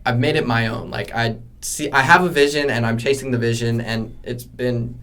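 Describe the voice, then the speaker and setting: A young adult man, a college student guest, answering casually and haltingly as he thinks aloud. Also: mid-range voice, steady tone, a bit bright.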